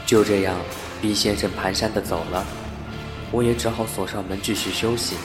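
A man's voice: lowish voice, fairly even intonation, normal speed, lightly acted. A man playing a courteous, hesitant and easily puzzled building manager who also narrates a sci-fi radio drama. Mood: bewildered narration. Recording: roomy sound with music underneath.

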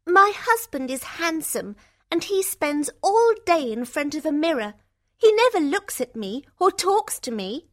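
A woman's voice: sits high, flat delivery, takes it slowly, fairly bright tone, pitched above the performer's own voice. A woman voicing an unhappy, envious young sister in a fairy tale, complaining dramatically.

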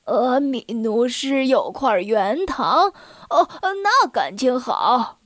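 A woman's voice: a little high, big pitch swings, takes it slowly, no echo, clean studio sound, voice pushed down. A woman voicing a drawling old bear. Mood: muddled. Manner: drawling, mumbling, pretends to mishear. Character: old deaf male circus bear, slow and sly. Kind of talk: storybook reading.

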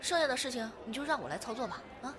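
A woman's voice: high voice, big pitch swings, very fast, crowd noise. A woman acting a confident, playful, reassuring woman in her thirties in a Chinese audio drama. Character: lively, confident woman of thirty-three devoted to her girlfriend.

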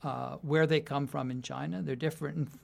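A man's voice: fairly high pitch, fairly even intonation, fairly quick, vocal fry. A man from the United States, a university writing instructor, giving thoughtful interview answers that often restart mid-sentence and break into light laughs.

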